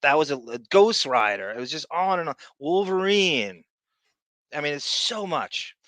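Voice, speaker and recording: A male podcast host with a bright, forceful voice in a dry, close sound. He chats casually and rambles, doubling back on phrases and hopping between asides. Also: on the high side, big pitch swings, moderate pace, no echo. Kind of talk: casual live chat show.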